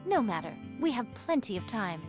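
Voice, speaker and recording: An excitable, high, swooping girlish fighter. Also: moderate pace. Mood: playfully possessive. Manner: animated, theatrical. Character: excitable, playful young female squad member. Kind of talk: scripted game cutscene acting. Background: background music.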